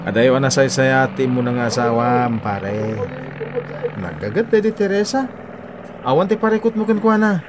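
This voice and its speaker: A man playing a teasing, nosy friend and confidant in a radio drama, animated and exclamatory. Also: medium pitch, moderate pace, background noise, natural voice. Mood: teasing, questioning. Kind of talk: Ilocano radio drama acting.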